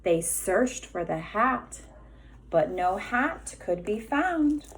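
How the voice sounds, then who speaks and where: Kindergarten teacher lady reading a picture book, slow and really animated, emphatic. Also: medium pitch, rough recording. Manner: deliberate, emphatic, pausing to ask counting questions. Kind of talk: expressive children's storybook read-aloud.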